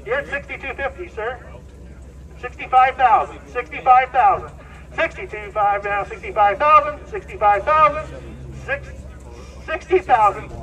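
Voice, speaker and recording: A male auctioneer with a Midwestern American accent addresses a farm sale. His voice is high for a man. It is picked up outdoors, some way from the microphone. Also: moderate pace. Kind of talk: live farm auction calling and announcing. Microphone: distant handheld camera picking up PA. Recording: distant outdoor loudspeaker sound.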